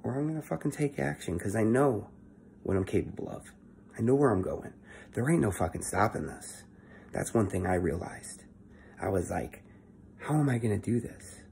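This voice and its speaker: Gravelly young man talking low. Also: lively intonation, normal speed, a little mellow, a little hiss or hum. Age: young adult. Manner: talking low and swearing often, earnest. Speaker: vlogger. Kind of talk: casual motivational monologue. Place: indoor room at home. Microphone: phone held close at arm's length.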